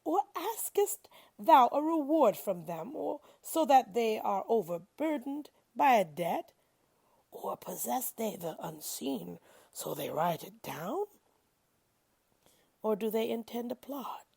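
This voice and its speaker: A woman reading religious scripture aloud expressively, with measured pauses and dramatic emphasis. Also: a little high, sing-song, creaky, mellow, slightly rough, no room sound. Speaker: reader of scripture.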